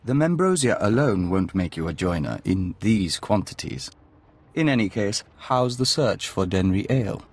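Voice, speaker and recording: An actor playing a serene, courteous envoy of an alien insect hive in a video game, speaking formally and in measured phrases as a collective "we". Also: male voice, lowish voice, animated, slightly rough. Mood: gently reassuring.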